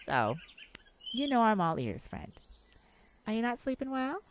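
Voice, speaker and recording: A woman's voice: a little high, very animated, unhurried. A woman playing a chipper, silly, caring roommate and best friend in a comforting audio roleplay, with playful, theatrical phrasing. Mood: caring, reassuring. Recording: noisy, birds and insects behind. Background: nature sounds with birdsong and insects.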